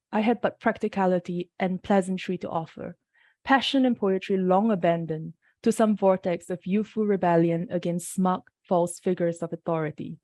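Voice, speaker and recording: A woman from Singapore reading her poems aloud and briefly introducing each one, sounding a little rough from the early hour. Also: mid-range voice, warm tone, no room sound. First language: Mandarin. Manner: measured, unhurried reading with brief spoken introductions. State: slightly off-sounding early-morning voice. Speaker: poet reading her work. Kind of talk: poetry reading.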